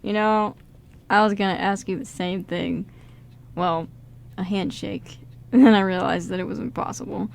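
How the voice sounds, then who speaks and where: A woman's voice: medium pitch, fairly even intonation, moderate pace, creaky, lightly acted. A woman playing a guarded, self-doubting male con artist in an amateur radio drama, speaking casually and a little hesitantly. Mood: wry. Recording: background noise in amateur radio recording.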